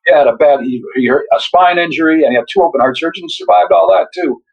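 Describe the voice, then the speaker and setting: A man's voice: on the high side, quick pace, creaky, dull audio. An older man, the guest author, talking in a reflective, rambling way with frequent restarts. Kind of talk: casual interview conversation.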